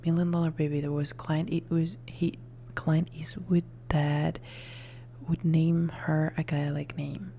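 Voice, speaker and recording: A woman, a native Italian speaker, chatting in a soft whisper on an ASMR live stream, rambling and correcting herself as she goes. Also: low-pitched, level tone, a little slow, a little hiss or hum. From Italy.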